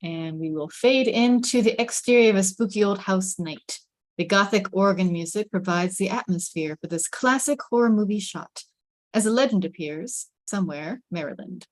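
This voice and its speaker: A woman's voice: mid-range voice, normal speed. A woman reading stage directions and chatting at an online table read, heard over a video call. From United States. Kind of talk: scripted narration and casual introduction.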